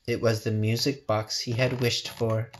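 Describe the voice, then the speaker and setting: A man's voice: mid-range voice, little pitch movement, measured pace, slight background noise. Man reading a children's story expressively. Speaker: storybook reader. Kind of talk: scripted children's story narration.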